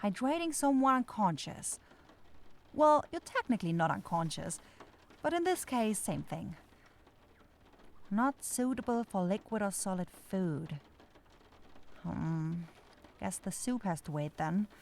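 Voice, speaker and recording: A woman's voice: mid-range voice, animated, unhurried, gravelly, pauses often. A woman playing a flustered, scatterbrained but caring young forest witch who dithers and searches for words in a fantasy roleplay audio drama. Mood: focused, muttering.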